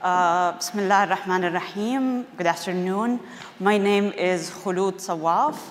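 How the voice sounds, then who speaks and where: A woman's voice: mid-range voice, a little slow, slight background noise. A woman from Syria with a Syrian Arabic accent, a theater director and filmmaker telling personal stories in a chatty way, with many fillers. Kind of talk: personal storytelling talk. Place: museum auditorium. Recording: roomy echo of a large hall.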